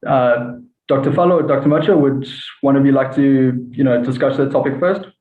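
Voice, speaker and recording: A man's voice: medium pitch, brisk. A man moderating an online panel, speaking politely and hesitantly with many fillers. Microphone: video call. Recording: tinny, echoey call audio.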